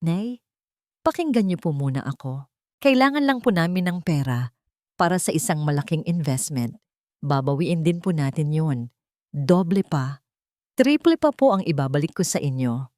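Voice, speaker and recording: A woman's voice: low-pitched, animated, normal speed, long pauses, mellow, no room sound, clean studio sound. A woman voicing an evasive grown son in an audio story, politely pleading and coaxing. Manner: polite, coaxing, making promises.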